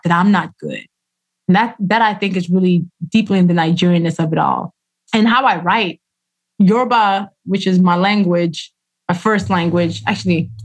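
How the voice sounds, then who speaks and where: A woman author talks through a casual interview at a mid pitch. She is expressive, acting out what other people said in their own voices and dropping in slang. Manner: expressive storyteller who acts out quoted voices, uses slang. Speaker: author guest.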